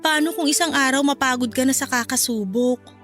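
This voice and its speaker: High-voiced jealous wife. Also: female voice, fairly quick, a bit bright, soft background music. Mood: fearful, pleading. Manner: emotional, argumentative. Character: jealous, insecure young wife, pleading and defensive. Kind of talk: radio drama dialogue acting.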